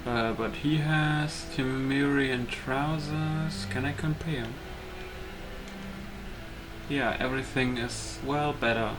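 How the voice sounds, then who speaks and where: A man's voice: medium pitch, steady tone, slow, poor audio. A man giving casual gameplay commentary, thinking aloud in short, broken remarks. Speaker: gamer, video host. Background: game music.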